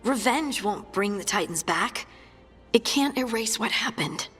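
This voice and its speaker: A woman playing a gentle, thoughtful adventurer in a fantasy game, speaking in a hesitant, reflective and friendly way. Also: mid-range voice, animated, unhurried, slightly rough. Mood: troubled, reflective. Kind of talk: fantasy video game acting. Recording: long reverb, faint music.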